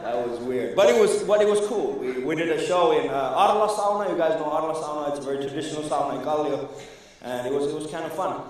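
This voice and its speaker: A man's voice: a little high, fast talker, few pauses. Echoey, rambling stand-up comedian man. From Iran. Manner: rambling, chatty crowd work with frequent fillers and restarts. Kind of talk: live stand-up comedy set. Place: comedy club stage before a live audience. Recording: echoey room, voice from far off.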